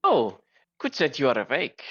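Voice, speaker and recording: A performer voicing a polite, flustered female pony nurse in a fan fiction reading, gentle and hesitant, on a dull microphone. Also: mid-range voice, wildly swooping pitch, moderate pace, breathy edge, no room sound, full character voice. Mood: gently concerned.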